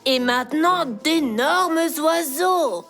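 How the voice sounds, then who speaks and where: A woman's voice: high voice, slow, fairly bright tone, full character voice. A woman playing a fretful old village grandmother in a cartoon, fussy and dramatic. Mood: admiring, fretful. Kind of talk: cartoon dub acting. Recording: music under the voice.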